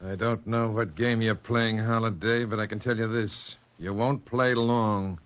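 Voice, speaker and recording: A man's voice: fairly low pitch, fairly even intonation, measured pace, fairly bright tone. A man playing a shrewd, menacing crime boss in a 1940s radio drama, curt and hard-boiled. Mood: threatening. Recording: 1940s radio broadcast recording.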